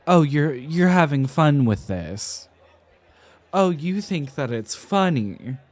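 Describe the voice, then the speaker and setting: A man playing a flustered, dramatic, tipsy young scholar in an ASMR roleplay, enunciating carefully with halting, theatrical flourishes and an affectionately defensive temper. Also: a little high, very animated. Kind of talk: ASMR roleplay, acted one-sided conversation.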